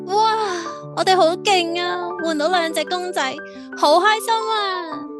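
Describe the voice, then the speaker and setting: An actress playing a sweet, gentle young woman in love in an audio drama, tender and girlish, with a Hong Kong Cantonese accent. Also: very high-pitched, a little slow, music in the background. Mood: delighted.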